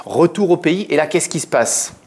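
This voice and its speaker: A man's voice: a little high, lively intonation, moderate pace, a little mellow, husky. A man hosting a TV talk show, asking long rambling questions with fillers. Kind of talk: TV interview questions.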